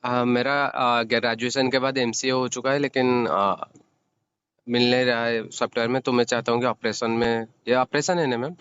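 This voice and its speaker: A man asks about a job in a casual, slightly hesitant way. His voice is smooth and clear, though the recorded call sounds dull. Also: mid-range voice, steady tone, moderate pace, fairly bright tone. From West Bengal, India. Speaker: job-seeking caller. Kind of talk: casual phone inquiry.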